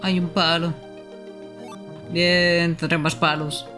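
A man's voice: fairly high pitch, flat delivery, slow pace. A young man giving casual live gameplay commentary as a streamer. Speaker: game streamer. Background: loud game music.

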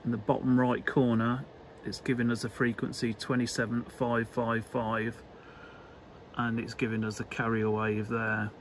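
A man giving a casual, unscripted workbench demonstration in halting, hesitant phrases, as an electronics hobbyist would. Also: mid-range voice, little pitch movement. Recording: clear background noise.

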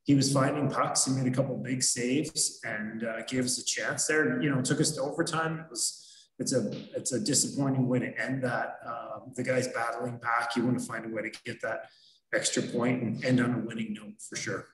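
Middle-aged male coach, steady mid pitch, methodical postgame answers, echoey video-call sound. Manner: measured, analytical, frequent fillers. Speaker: hockey head coach. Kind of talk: postgame press conference answers. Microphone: video call webcam. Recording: roomy echo.

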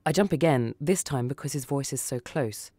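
A narrator tells a short story in the first person with a dramatic delivery. The voice sits at a middling pitch. The sound is very dry. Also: moderate pace, dark tone, no echo. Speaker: story reader.

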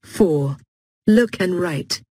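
A woman announcing textbook exercise numbers and titles in a slow, measured way. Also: lowish voice, animated, dark tone, husky.

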